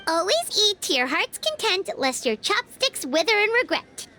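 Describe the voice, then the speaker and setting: A woman voicing a small, childlike, excitable and easily flustered fairy companion in a video game. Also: very high-pitched, moderate pace, slight background noise, exaggerated voice. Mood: flustered, hesitant. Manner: speaks of self in third person, stammering.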